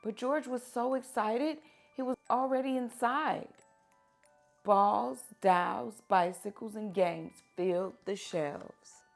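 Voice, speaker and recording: A woman reading picture books aloud to children in an expressive, deliberate teacher's manner, prompting them with questions. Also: mid-range voice, creaky, soft background music.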